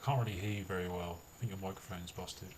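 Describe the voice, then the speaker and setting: Friendly London man, low voice, easygoing small talk, faint hiss behind. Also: fairly even intonation, fast. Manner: friendly, chatty, laughs easily. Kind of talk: casual chat.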